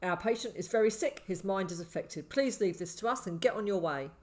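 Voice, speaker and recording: A woman voicing a gruff, burly male paramedic in an audiobook, firm and authoritative. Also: mid-range voice, a little fast, lightly acted. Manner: firm, commanding. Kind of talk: audiobook character acting.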